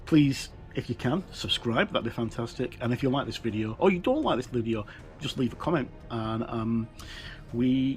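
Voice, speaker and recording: A middle-aged man hosting a casual look-through for a gaming channel, talking in a halting way with pauses mid-sentence. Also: mid-range voice, darkish tone. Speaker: host of a tabletop gaming channel. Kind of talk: casual presenter talk, book look-through. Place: indoors, tabletop overhead shot.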